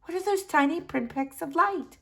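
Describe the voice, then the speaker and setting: A woman's voice: very high voice, normal speed, creaky, bright, forceful tone, pitched above the performer's own voice, full character voice. A woman voicing a wordy, earnest young boy in a children's storybook, speaking in a formal, effusive manner. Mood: amazed. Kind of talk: acted children's storybook dialogue.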